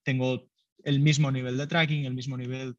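Founder, dull dry sound. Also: male voice, mid-range voice, flat delivery, normal speed, no room sound. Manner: loose and conversational, many fillers, laughs lightly. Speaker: startup founder. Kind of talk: business interview answers.

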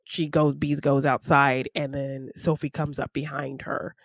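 A woman's voice: extremely low pitch, little pitch movement, measured pace, no echo, studio quality. A woman co-hosting a podcast, casually retelling a film in an informal, conversational way. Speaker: podcast co-host.

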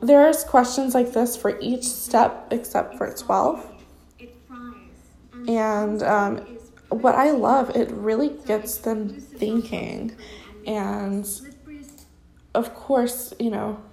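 A woman's voice: a little high, extremely slow, vocal fry, pauses often, a little mellow, poor audio. A young woman sponsoring others in recovery, talking off the cuff in a casual vlog. She sounds congested and blocked up, and rambles with many fillers. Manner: rambling, spontaneous, heavy with fillers. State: congested, stuffy nose. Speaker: recovery sponsor sharing experience. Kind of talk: casual unscripted vlog talk.

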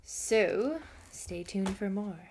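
A young adult woman hosting a self-help podcast, earnest and encouraging, her voice slightly hoarse from a cold. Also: mid-range voice, very slow, a little mellow, a little hiss or hum. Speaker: podcast host.

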